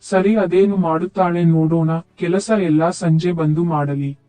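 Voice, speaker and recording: A man playing a disdainful young village farmer husband in an animated moral story, blunt and belittling. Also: on the high side, unhurried, faint music behind, lightly acted. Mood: doubting. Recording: thin phone-like sound.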